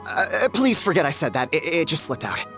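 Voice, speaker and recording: A man's voice: on the high side, very animated, a little fast, a bit bright, faint music behind. A man voicing an anxious, polite bathhouse manager in an anime-style game, stammering and apologetic. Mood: flustered, apologetic.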